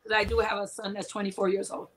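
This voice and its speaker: A woman's voice: medium pitch, little pitch movement, fast talker, noisy background. Guest, a woman in her forties, talkative and laughing, on a home webcam call. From Puerto Rico. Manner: chatty, laughs often, tells stories with quoted asides. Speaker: guest cooking and Disney channel creator. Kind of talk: casual chat on a live video call. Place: small home room indoors. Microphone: video call from a webcam.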